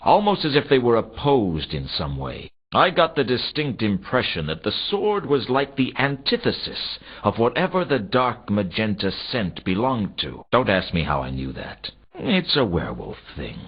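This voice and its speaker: An actor playing a weary, wry werewolf private detective, narrating a noir game's inner monologue in a deadpan, brooding way. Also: male voice, on the low side, moderate pace, few pauses, slightly rough. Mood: uneasy, brooding. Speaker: narrator and protagonist of a detective adventure game. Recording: dull, compressed 1990s game audio.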